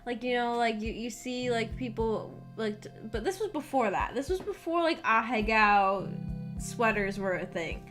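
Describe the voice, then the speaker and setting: High-pitched young woman, chatty streamer. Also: moderate pace. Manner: giggly, animated storytelling with frequent "like". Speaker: streamer hosting a visual novel book club. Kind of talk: casual livestream chat, rambling personal anecdotes. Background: background music.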